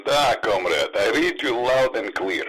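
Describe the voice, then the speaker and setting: A man's voice: medium pitch, animated, a little slow, background noise, natural voice. An actor playing a male Soviet spy handler, speaking curtly and boastfully over a radio link in an old-time radio drama. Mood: commanding.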